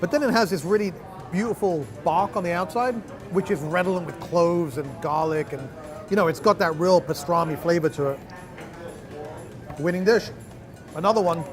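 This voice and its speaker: A man with a high voice hosts a food show and talks through each bite of barbecue with keen, descriptive enthusiasm. He is recorded outdoors in a city, with music playing clearly behind him. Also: normal speed. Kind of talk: food tasting commentary.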